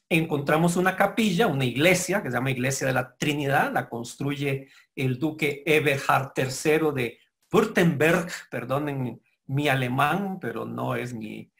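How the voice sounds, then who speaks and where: A man giving a formal lecture to members, speaking in a measured, explanatory way with pauses and self-corrections. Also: on the high side, vocal fry, a bit bright. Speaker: guest lecturer.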